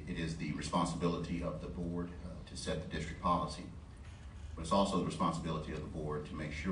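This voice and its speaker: A man giving a formal, deliberate and emphatic answer as a candidate at a public forum. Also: rumbling low, fairly bright tone. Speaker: school board candidate. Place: large meeting board room. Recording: roomy distant mic, faint noise.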